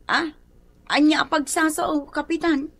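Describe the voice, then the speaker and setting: A woman's voice: high voice, fairly even intonation, slow, a bit bright, heavily acted. A woman with a Filipino accent playing an eager, easily flustered village secretary in a radio drama.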